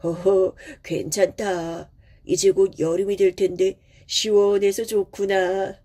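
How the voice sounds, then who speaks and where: A woman's voice: medium pitch, little pitch movement, slow pace, dark tone. A woman voicing a kindly middle-aged village father in a storybook tale, speaking in a hearty, reassuring manner. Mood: gentle, reassuring.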